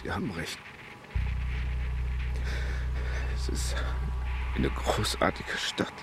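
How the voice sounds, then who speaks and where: An actor playing a shy, emotional assembly-line worker and father in a naturalistic German radio drama, speaking haltingly. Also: background noise, natural voice. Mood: subdued.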